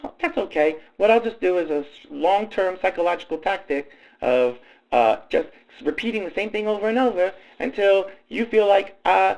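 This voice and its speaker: Perky, naive schoolgirl played by a man, high-pitched and croaky, folksy and rambling, for a comedy radio play. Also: animated, normal speed, darkish tone, dull audio. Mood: pious, smug. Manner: giggly, rambling, folksy gosh-golly talk. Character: perky, naive, self-absorbed Southern small-town girl.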